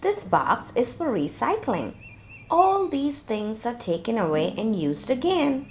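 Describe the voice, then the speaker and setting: A woman's voice: on the high side, sing-song, a little slow, darkish tone, slight background noise, pitched above the performer's own voice. A woman voicing a friendly male schoolteacher in a children's storybook, instructive, encouraging and emphatic, with music behind. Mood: friendly, explaining.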